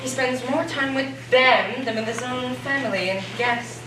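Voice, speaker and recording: A woman's voice: high-pitched, a little slow. A woman on stage playing a coy, polite young niece welcoming guests to a party. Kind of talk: amateur stage acting. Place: school theatre stage. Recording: echoey, distant, noisy stage.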